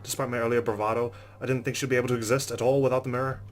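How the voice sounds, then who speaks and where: A man's voice: mid-range voice, level tone, very fast, faint music behind. A man hosting a let's play, reading visual novel narration aloud and adding casual asides. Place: recorded voice-over with game music behind.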